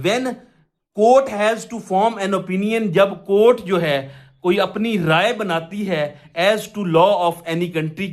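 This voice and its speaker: High-pitched Pakistani man lecturing slowly. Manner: didactic, asks and answers own questions. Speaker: law lecturer. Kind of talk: explanatory exam lecture, mixing Urdu with English legal text.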